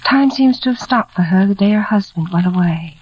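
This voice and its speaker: An actress playing a shy, kindly young housemaid, earnest and gentle, in a 1940s radio drama. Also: female voice, mid-range voice, animated, fairly quick, lightly acted. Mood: earnest, wistful. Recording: muffled, faintly noisy old radio recording.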